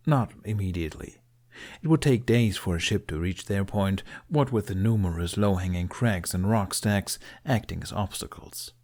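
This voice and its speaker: A man's voice: lowish voice, darkish tone. A man reading a story aloud as an audiobook narrator, in a scripted, dramatic storytelling style.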